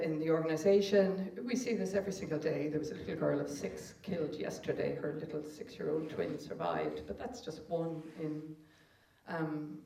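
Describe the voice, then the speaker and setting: A man's voice: a little high, a little mellow. A man on a panel speaking earnestly for an organization, often adding tag questions. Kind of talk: panel discussion. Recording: echoey room from far off.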